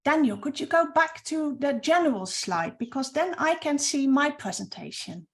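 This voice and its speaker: High-voiced woman with a Norwegian accent. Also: animated. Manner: earnest, slightly halting non-native English with restarts. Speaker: project coordinator. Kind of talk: webinar presentation.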